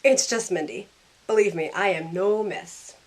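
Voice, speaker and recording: A woman's voice: mid-range voice, measured pace, a little mellow. A woman playing a snarky young heroine in an audio drama, narrating in the first person and speaking her lines with wry sarcasm. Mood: teasing. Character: snarky young woman thrown back aboard the Titanic. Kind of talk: first-person scripted narration and acted dialogue.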